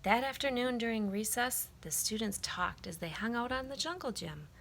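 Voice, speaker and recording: A woman reading a children's picture book aloud in an expressive, emphatic storytelling style. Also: on the high side, moderate pace, vocal fry, slight background noise.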